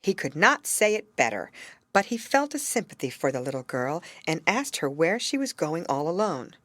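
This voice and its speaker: Animated low-pitched woman reading a fairy tale. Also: moderate pace, no room sound. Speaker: audiobook reader. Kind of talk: expressive scripted fairy tale narration.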